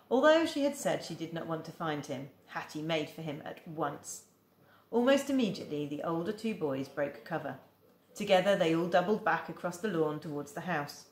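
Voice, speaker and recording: Expressive woman reading a storybook. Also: deep voice, echoey room. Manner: expressive, acts out the dialogue. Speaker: teacher reading aloud to a class. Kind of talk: storybook read-aloud.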